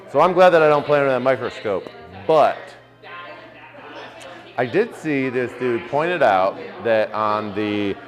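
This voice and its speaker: A man in his forties, a former football player co-hosting a casual sports podcast, joking around and calmly breaking down game plays step by step. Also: medium pitch, measured pace, long pauses, faint music behind. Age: 40s.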